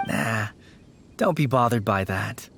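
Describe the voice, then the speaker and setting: A man's voice: fairly low pitch, expressive, takes it slowly, a bit bright, a little hiss or hum. An earnest, subdued young man. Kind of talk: video game voice acting.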